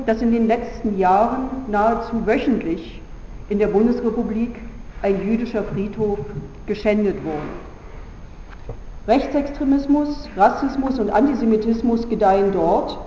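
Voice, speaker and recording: A woman from Berlin giving a formal, measured conference speech as a politician, earnest, with deliberate pauses. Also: a little high, warm tone, a little hiss or hum. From Berlin, Germany. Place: large conference hall. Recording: echoey hall, dull sound, faint ambience.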